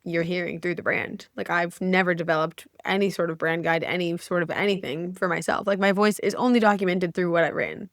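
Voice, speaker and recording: A woman's voice: on the low side, fairly even intonation, fairly quick, few pauses, no echo, studio quality. A woman hosting a podcast in a candid, casual chat, frank and peppered with "like" and swearing. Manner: frank, swears freely, lots of "like". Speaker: podcast host and copywriting coach.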